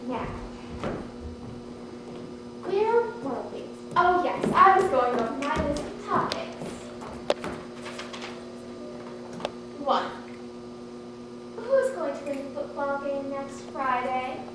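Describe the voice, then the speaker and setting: A woman's voice: high-pitched, animated, slow pace, pauses often. A woman playing a fussy, genteel aunt in a stage comedy, gushing and lecturing in a theatrical manner. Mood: prim, instructive. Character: fussy, genteel Southern aunt coaching her shy niece. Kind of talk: stage acting in a one-act comedy. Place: theater stage, recorded from a distance. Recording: echoey stage, distant mic, hissy background noise.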